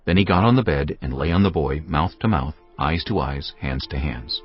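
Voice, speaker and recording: A man narrating scripture in a measured, solemn way. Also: rumbling low. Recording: dull audio with music bed.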